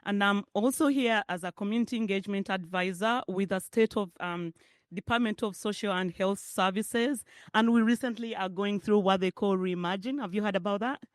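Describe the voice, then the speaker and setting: A middle-aged woman from Uganda with a Ugandan accent, speaking warmly and chattily at a public forum and laughing often. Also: mid-range voice, normal speed, no room sound, clean studio sound. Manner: warm, emotional, chatty asides with frequent laughter. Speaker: guest speaker, parent advocate. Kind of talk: personal speech at a public forum. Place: hotel ballroom event.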